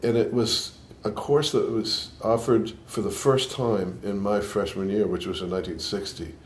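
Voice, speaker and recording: A man's voice: fairly low pitch, steady tone, normal speed. An older man from Boston with a mixed Boston and New York accent, a scientist recalling his life in an interview, thoughtful with frequent restarts. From Boston, USA. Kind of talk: reflective interview answers.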